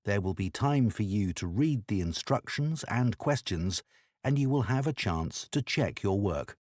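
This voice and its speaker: A man reading scripted exam instructions as an announcer, in a formal, measured manner. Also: on the low side, lively intonation, a little mellow, dry recording, clean studio sound.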